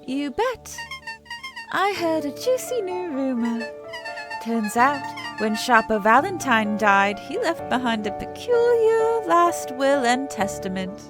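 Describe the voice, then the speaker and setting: A woman's voice: sits high, sing-song, slow, music in the background, voice pushed up, full character voice. Woman voicing a perky teen girl reporter. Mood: gossipy. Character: nosy teenage girl reporter, perky and gossipy.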